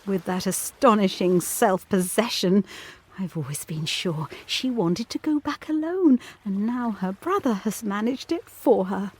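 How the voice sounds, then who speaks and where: A woman's voice: mid-range voice, animated, a little slow, a little mellow, breathy edge. A woman playing an anxious, obsessive governess in a gothic audio drama, speaking formally and intensely. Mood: grave certainty. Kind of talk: audio drama acting, period literary dialogue. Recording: music playing behind the voice.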